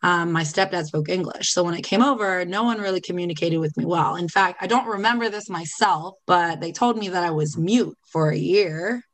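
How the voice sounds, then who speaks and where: A woman's voice: lowish voice, a little fast, few pauses. A woman guest on a podcast, talking candidly and thoughtfully about her life, with many fillers and restarts. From Italy. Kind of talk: reflective personal interview.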